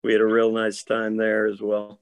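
A man in his fifties with a hoarse voice talks as a guest on a video call from his room at home. He lays his stories out in a measured, detailed way. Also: lowish voice, monotone. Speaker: actor guest. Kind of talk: casual interview chat.

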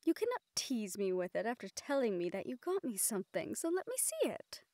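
A woman playing a gentle, playfully reproving fantasy sweetheart in a romantic audio roleplay, speaking tenderly in formal phrases. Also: fairly high pitch, huge pitch swings, a little fast, no room sound, studio quality. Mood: playful scolding. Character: gentle, playfully scolding fantasy woman courting a dragon general.

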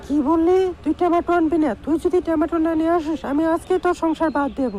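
A woman's voice: very high voice, steady tone, quick pace, dark tone. A woman playing a bossy, hot-tempered village wife in a comic cartoon, scolding with a Bangladeshi Bengali accent. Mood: angry, threatening. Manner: scolding, demanding.

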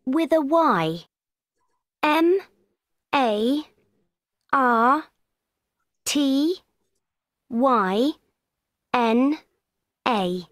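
A friendly teenage girl from Poland in a course-book listening dialogue. Her high, lively voice moves slowly and spells each letter carefully. The sound is dry and close. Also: dry recording, full character voice. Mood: plain. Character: 17-year-old girl from Poland, friendly student. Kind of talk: scripted textbook dialogue.

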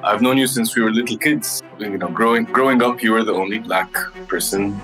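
Male podcast host, lowish voice, earnest and reflective, faint music under the intro. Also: fairly quick, a bit bright, low-quality recording. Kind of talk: casual interview chat.

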